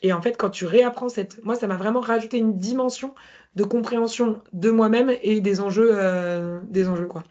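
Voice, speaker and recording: Female interview guest, candid and thoughtful, hesitant phrasing, mid-pitched, slightly muffled recording. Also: moderate pace, a little mellow. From Paris, France. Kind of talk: conversational personal testimony.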